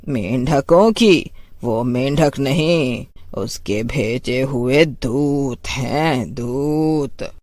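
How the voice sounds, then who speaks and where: A narrator playing a slow, ominous old village grandmother. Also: medium pitch, darkish tone, no echo. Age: older. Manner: slow, drawn-out, ominous delivery.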